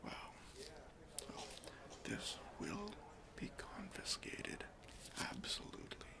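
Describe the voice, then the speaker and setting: An officious chief security officer acted out by a man in an ASMR roleplay. The voice is whispered and creaky, with pitch that swings up and down. The questioning is deliberate and mock-stern, yet polite and open to persuasion. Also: wildly swooping pitch, heavily acted. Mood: stern, suspicious. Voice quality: whispery, breathy.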